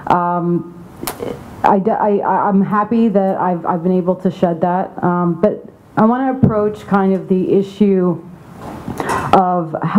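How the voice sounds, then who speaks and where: A woman's voice: on the low side, warm tone, a little hiss or hum. A young adult woman from Saudi Arabia giving a candid, informal conference speech as an advocacy representative. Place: conference venue. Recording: tinny phone-like sound.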